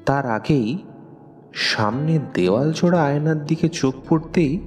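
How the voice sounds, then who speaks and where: A man narrating a scripted audio story in a measured, literary, suspenseful way. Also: a little high, lively intonation, darkish tone. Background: music with plucked guitar.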